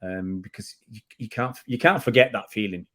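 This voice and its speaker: A man's voice: on the low side, normal speed, a bit bright. A middle-aged man from England hosting a casual football chat, chatty and prone to repeating words as he goes. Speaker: fan channel host.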